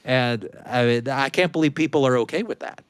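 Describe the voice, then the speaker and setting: A man hosting a podcast interview and working as an editor, talking in a casual, animated way and laughing often. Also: mid-range voice, brisk, clear, resonant tone, no room sound. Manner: animated, laughs often, mimics others in quotes.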